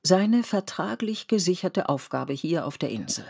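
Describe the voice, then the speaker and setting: A woman playing the sober, deliberate narrator of a historical radio play. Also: lowish voice, expressive, creaky, mellow, husky, exaggerated voice. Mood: grave.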